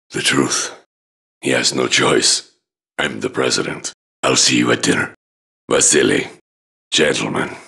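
An actor playing a dignified, defiant middle-aged Russian president in a video game, gravelly and measured, with a Russian accent. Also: male voice, a bass voice, a bit bright, exaggerated voice. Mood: composed, resolute. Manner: measured, weighty, statesmanlike. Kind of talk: dramatic video game acting.

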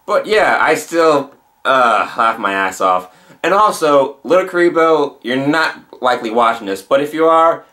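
Reaction host: male, twenties, medium-pitched, relaxed everyday chat, recorded indoors at home. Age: 20s. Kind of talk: casual reaction commentary. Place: small room at home, indoors.

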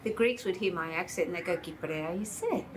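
A woman, recalling family life in a relaxed, agreeable way during an interview. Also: on the low side, fairly quick. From Australia. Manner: relaxed, agreeable, brief replies. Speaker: interview guest. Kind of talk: casual reminiscing conversation. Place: indoor room by large windows. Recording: audible background noise.

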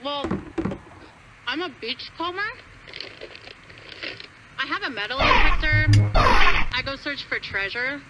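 An actress playing an airy, offhand beachcomber calling in to a radio show in a video game, smug and callous. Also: female voice, sits high, sing-song, slow, long pauses, very noisy, exaggerated voice. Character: spacey, callous female beachcomber caller. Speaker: call-in listener.

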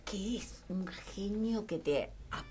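A woman's voice: mid-range voice, takes it slowly, a little mellow, a little hiss or hum. A woman with an Argentine accent, an actress guest chatting animatedly in a radio interview. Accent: Argentine Spanish. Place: small radio studio. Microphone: studio microphone with headphones.

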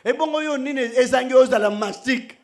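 Middle-aged male preacher, very high voice, lively, joking, shouts at times, stand mic, outdoor stage. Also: fairly quick. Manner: animated, joking, switching between shouting and soft asides. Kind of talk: sermon. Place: outdoor church stage under a canopy. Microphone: stand microphone.

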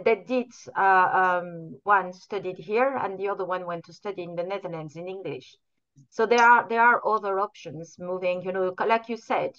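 A woman on a video call, giving encouraging, thoughtful remarks in a slightly halting way. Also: mid-range voice, fairly bright tone, smooth. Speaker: participant. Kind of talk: casual video-call conversation. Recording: dull lo-fi video call audio.

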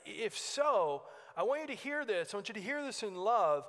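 A man's voice: extremely high pitch, fairly bright tone. A man preaching a sermon as a pastor, earnest and exhorting, repeating phrases for emphasis. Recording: long hall reverb.

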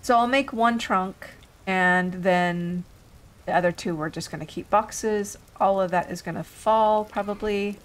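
Slow-talking woman doing casual game commentary, recovering from illness. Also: medium pitch. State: getting over an illness. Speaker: gaming video host. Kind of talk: casual let's play commentary.

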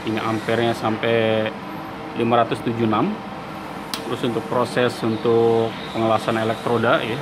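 A man's voice: medium pitch, monotone, moderate pace. A man with an Indonesian accent giving a casual, explanatory product demonstration, repeating key points. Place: indoor workshop. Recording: clear workshop hum and ambient noise.

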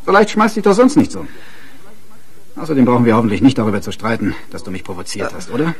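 A man's voice: mid-range voice, animated, fast talker, lightly acted. An actor playing a domineering, abusive husband in a German radio crime drama, sarcastic and hectoring as he talks. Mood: scornful, menacing. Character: domineering, sneering, abusive husband who bullies his wife.

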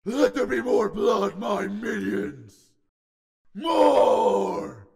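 A man voicing a gruff, bloodthirsty, exasperated demon war god in a comic dub, gravelly and sardonic. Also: high voice, expressive, extremely slow, darkish tone, roomy sound, exaggerated voice. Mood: roaring.

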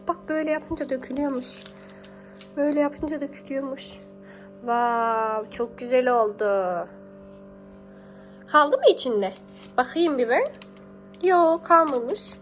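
A young woman, a mother talking casually and affectionately to her small child, encouraging with pet names and repeated phrases. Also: high-pitched. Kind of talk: casual coaxing talk to a small child while baking.

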